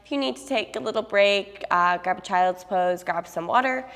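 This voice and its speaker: A young woman guiding a yoga class at an even, unhurried pace, her cues calm and steady. She sounds a bit sniffly from a cold and speaks into a clip-on mic. A long reverb hangs on her voice, as in a large room. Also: mid-range voice. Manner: calm, steady cueing with soft fillers. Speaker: yoga instructor.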